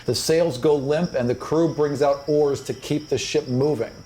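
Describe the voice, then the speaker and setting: A man's voice: on the high side, fairly even intonation, moderate pace, mellow. A man running a tabletop fantasy game as game master, mixing dramatic, descriptive narration with casual asides. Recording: clear background noise, insect ambience.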